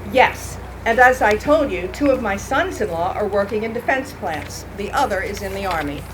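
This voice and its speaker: A woman's voice: medium pitch, animated, normal speed, close to an everyday voice. A woman playing a proud, plainspoken 1940s war mother in a live radio-play reenactment. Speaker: reenactor reading a radio script. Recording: noisy live event recording.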